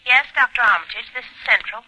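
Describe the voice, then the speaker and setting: A small-town telephone operator, folksy and very sharp. Her rather high voice comes through a thin telephone effect in a vintage 1940s radio drama. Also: fast talker, rough recording. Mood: concerned.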